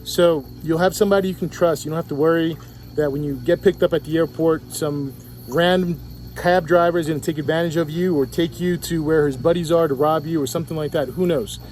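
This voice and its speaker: A man's voice: on the high side, steady tone, a little fast, darkish tone, slight background noise. A man with a Puerto Rican accent, vlogging casually by a pool and talking into a handheld camera, rambling with restarts and fillers. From Puerto Rico. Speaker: travel vlogger. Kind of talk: casual travel vlog. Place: outdoors at a resort pool.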